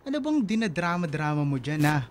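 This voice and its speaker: Casting: actor for playful young male best friend, radio drama, medium pitch, teasing and exclamatory banter. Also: sing-song, a little fast, slight background noise, natural voice. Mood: teasing, upbeat.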